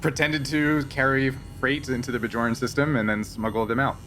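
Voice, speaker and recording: A man playing a guarded, resigned smuggler pilot in a tabletop roleplaying game, speaking in a halting, measured way. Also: medium pitch, steady tone, pushed and bright, faint music behind, natural voice. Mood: matter-of-fact, defiant. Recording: faint background noise.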